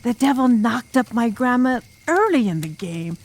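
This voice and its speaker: A woman's voice: on the high side, sing-song, a little mellow. A woman playing an intense, enigmatic girl drum major who tells a scary tale dramatically in an audiobook. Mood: urgent, ominous. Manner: dramatic, ominous, deliberate.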